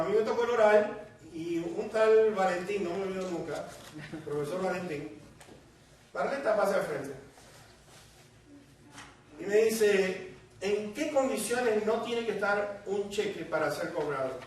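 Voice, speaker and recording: A man with a Puerto Rican accent gives a sermon, his voice pitched high and carrying through a very echoey hall. The mic sits some way off, with faint noise underneath. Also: distant mic. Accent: Puerto Rican Spanish. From Puerto Rico. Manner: storytelling, acting out quoted voices. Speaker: preacher. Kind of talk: sermon with personal testimony.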